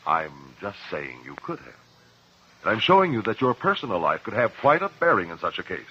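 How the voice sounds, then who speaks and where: Husky, animated courtroom cross-examiner. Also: male voice, lowish voice, exaggerated voice. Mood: accusing. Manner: measured, articulate, pressing. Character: probing, principled male courtroom cross-examiner. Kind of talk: 1950s radio drama acting. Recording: muffled vintage 1950s radio recording.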